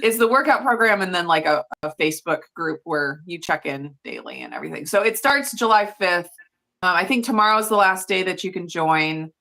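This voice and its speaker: Bright-voiced woman in her 30s, casual chatty co-host, lots of ums and laughing. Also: lowish voice, animated, brisk, creaky. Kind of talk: casual chat.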